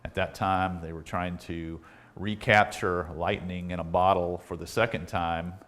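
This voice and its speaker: A man hosting a repair video, talking in a casual, unhurried, conversational way as he demonstrates equipment. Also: sits low, flat delivery, fairly bright tone. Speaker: host of electronics repair videos. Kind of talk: casual product demonstration talk.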